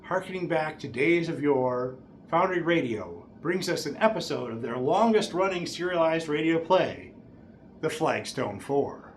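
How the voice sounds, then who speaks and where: A man's voice: on the high side, animated, measured pace. A middle-aged man hosting as a juggler, with theatrical showman patter addressed to an audience. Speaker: juggler and event host. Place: small bare indoor room. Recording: echoey room with background noise.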